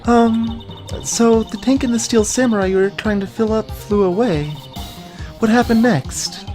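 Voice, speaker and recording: A woman's voice: on the high side, unhurried, mellow, faint music behind, close to an everyday voice. A woman voicing a perky, curious young female sidekick in video game dialogue, chatty and eager.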